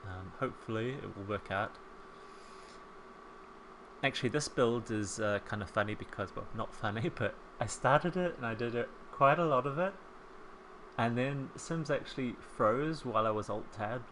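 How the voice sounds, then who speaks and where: A man in his thirties with a soft New Zealand accent, chatting casually as a voice-over while he builds a home in a life-sim game, rambling and self-deprecating. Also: mid-range voice, moderate pace, slight background noise, low-quality recording. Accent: soft New Zealand, softened by years in England. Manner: chatty, rambling, self-deprecating, with frequent ums and small laughs. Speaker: Sims speed-build creator.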